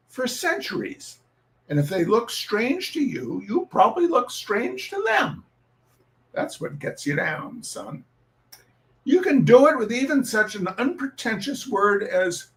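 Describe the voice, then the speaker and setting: A man reading humorous essays aloud in a theatrical, emphatic way, with casual delivery and comic repetition. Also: high-pitched.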